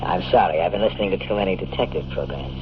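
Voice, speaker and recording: Man playing a fast-talking, wisecracking private eye. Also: low voice, background noise, natural voice. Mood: flip, flirtatious. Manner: flip, snappy, sardonic hardboiled delivery. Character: wisecracking, cynical bachelor private detective. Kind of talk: 1950s radio detective acting and first-person narration. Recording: muffled old radio broadcast with music.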